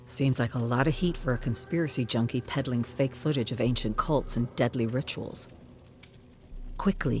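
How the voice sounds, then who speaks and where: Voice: mid-range voice, darkish tone. Dramatic story narrator over music. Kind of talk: dramatic scripted audiobook-style narration.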